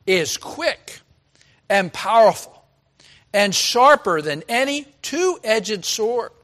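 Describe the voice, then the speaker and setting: A pastor with a raspy voice preaching a sermon in a folksy, chatty way, asking the congregation questions. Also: male voice, sits high, big pitch swings, slow pace, fairly bright tone. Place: church.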